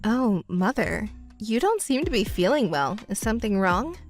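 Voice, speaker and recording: A woman voicing a composed adult stepdaughter in a melodramatic story, speaking in a measured, firm, reproachful manner. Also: a little high, sing-song, faint music behind. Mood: concerned. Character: cool, composed adult stepdaughter confronting her mother. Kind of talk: melodramatic scripted story dialogue.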